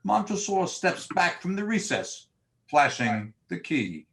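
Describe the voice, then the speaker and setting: A man's voice: sits high, big pitch swings, a little slow, fairly bright tone. A man narrating a gothic horror drama from a script in a theatrical, storytelling style.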